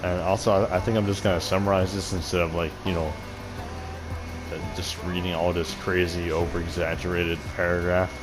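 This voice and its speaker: A man with a deep voice casually commenting on a game and reading quest text, rambling and swearing freely. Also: flat delivery, brisk, over music, rough recording. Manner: profane, rambling, laughs often. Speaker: gaming video host.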